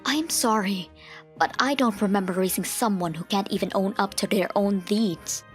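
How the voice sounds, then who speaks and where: A woman playing a firm, principled mother in a manga dub, speaking in a stern, measured, matter-of-fact way. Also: medium pitch, natural voice. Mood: cold, firm. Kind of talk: manga dub dialogue and first-person narration. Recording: music behind the voice.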